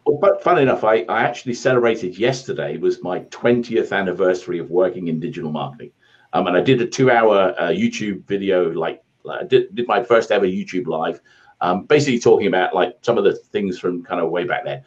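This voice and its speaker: A man's voice: low voice, a little fast, dull audio. A British man in his forties telling his career story in a rambling, self-deprecating way, over a video call from a home office. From England. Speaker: guest, ad agency founder. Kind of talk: casual interview storytelling. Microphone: earphone headset on video call.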